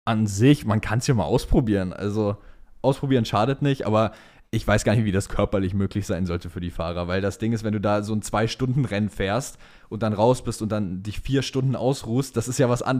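A man's voice: lowish voice, a little fast, a little mellow. A man co-hosting a casual motorsport chat, talking informally with English slang mixed in. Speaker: podcast co-host reading listener questions.

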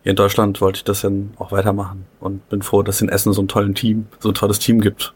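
A man's voice: lowish voice, completely flat delivery, fast talker, breathy edge. A young man, a rugby player, chatting about how he started, with frequent fillers. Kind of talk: casual interview answers.

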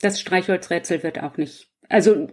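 A woman's voice: fairly low pitch, normal speed, mellow. A woman chatting casually as a guest author, plain-spoken and brief in her replies. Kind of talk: casual panel chat.

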